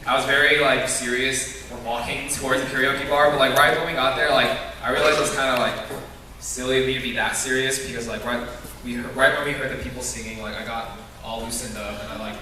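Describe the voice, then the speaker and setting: Young man, bright voice, echoey hall. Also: mid-range voice, steady tone. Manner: halting, heavy use of filler words. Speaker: youth group member sharing a mission trip. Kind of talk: informal testimony to a church group. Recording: echoey hall, distant mic, some noise.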